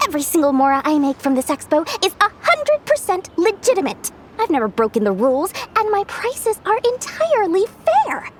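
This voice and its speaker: Swooping, high-pitched greedy little merchant. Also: female voice, slight background noise. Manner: slick, theatrical sales patter. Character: small, brash, greedy female merchant tycoon. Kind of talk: video game voice acting.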